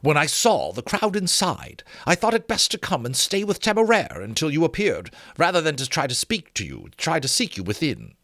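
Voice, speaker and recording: A man voicing a learned, grave gentleman scholar with formal, courteous speech in a dramatic book reading. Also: a little high, huge pitch swings, normal speed, few pauses, dry recording, studio quality, pitched above the performer's own voice, heavily acted. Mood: courteous, earnest. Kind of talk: dramatic audiobook character reading.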